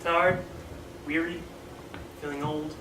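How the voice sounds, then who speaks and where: High-ish, echoey laid-back teen boy, acted by a man. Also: level tone, slow. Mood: easygoing. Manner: offhand, slangy. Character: breezy teenage boy ghost, laid-back best friend. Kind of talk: casual stage-play dialogue. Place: small indoor theatre stage. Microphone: far camera. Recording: echoey theatre stage, distant noisy camera mic.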